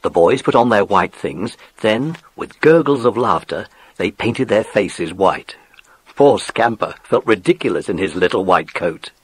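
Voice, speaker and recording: A man's voice: fairly low pitch, lively intonation, unhurried, slightly rough, no echo. A man narrating a children's adventure story in a scripted, dramatic, suspenseful storytelling manner.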